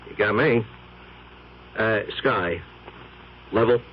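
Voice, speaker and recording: An actor playing a brash, wisecracking photographer in a 1970s radio drama, talking fast with slang. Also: male voice, on the low side, slightly rough. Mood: puzzled. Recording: dull old radio broadcast.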